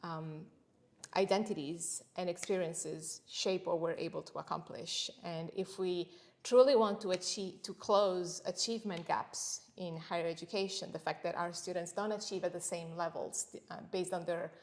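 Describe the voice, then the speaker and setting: A middle-aged woman from Italy, Italian her first language, talks through teaching and equity in a calm, measured interview manner. Her voice sits a little low for a woman, and she pauses often to find her words. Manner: thoughtful, measured, with frequent pauses and fillers. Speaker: senior lecturer, interview guest. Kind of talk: academic interview conversation.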